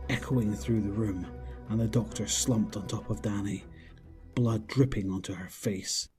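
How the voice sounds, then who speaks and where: A man's voice: mid-range voice, a little mellow, husky. A man reading scripted narration for a horror audio drama.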